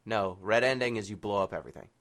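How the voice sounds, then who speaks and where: A man's voice: fairly low pitch, quick pace, fairly bright tone. A man hosting a casual chat podcast, opinionated and bantering. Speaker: podcast host.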